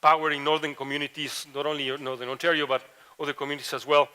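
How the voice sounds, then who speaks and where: A man's voice: a little high, flat delivery, fast, sharp tone, smooth. A middle-aged man from Mexico and Ecuador with a Latin American Spanish accent, giving a conference panel talk with many fillers and restarts. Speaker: professor, panel speaker.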